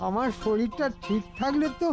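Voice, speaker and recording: A man's voice: very high voice, fairly quick. An actor voicing a sly, scheming minister in a cartoon, who moans and whines as he fakes being sick. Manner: whining, moaning. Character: scheming sly minister, middle-aged man, feigning illness. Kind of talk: cartoon voice acting. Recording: studio dub with background music.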